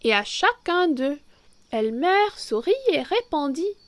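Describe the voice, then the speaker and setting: Slow, high-voiced woman reading a children's story. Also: sing-song, a bit bright, no room sound. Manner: slow, deliberate, theatrical storytelling with emphasis on key words. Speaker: children's story reader, French teacher. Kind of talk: expressive read-aloud of a children's picture book.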